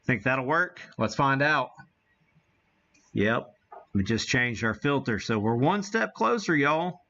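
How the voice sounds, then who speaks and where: Slow-talking American man, dull muffled audio. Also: mid-range voice, expressive, bright, forceful tone. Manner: folksy, mild homespun exclamations. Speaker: livestream host demonstrating ham radio gear. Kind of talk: casual live demo, thinking aloud.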